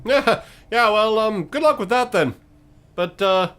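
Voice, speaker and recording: A man voicing a rambling, awkward undead oracle creature in a tabletop game, halting and muttering asides. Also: high-pitched, animated, a bit bright, clean tone, pitched above the performer's own voice. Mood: insistent.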